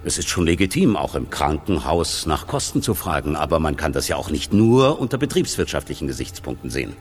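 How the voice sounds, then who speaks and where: A deep, animated male voice as an earnest hospital doctor in a radio play. He explains things deliberately and emphatically, as in an interview, over clear music. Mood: earnest, critical. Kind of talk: documentary-like interview in radio drama.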